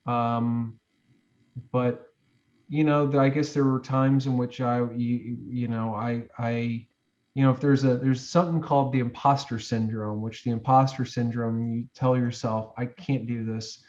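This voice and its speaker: A man in his forties, speaking at a medium pitch, who rambles through reflective advice. His sentences restart often and are full of fillers. The audio is a little muffled. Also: little pitch movement, a little slow, darkish tone. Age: 40s. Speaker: guest. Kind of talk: reflective interview chat.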